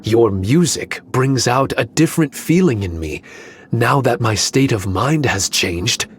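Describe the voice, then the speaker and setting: A polite male mystic scholar voiced by an actor for a game. He speaks in a medium pitch with formal, measured, earnest phrasing and a gentle temper. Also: expressive, slight background noise, natural voice. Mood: earnest, grateful.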